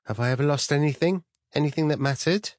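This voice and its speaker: A man's voice: on the high side, fast, no echo, studio quality, pitched above the performer's own voice, natural voice. A man voicing a bubbly, cheeky young female secretary with a breezy, defensive manner in an audiobook. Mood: cheerfully defensive.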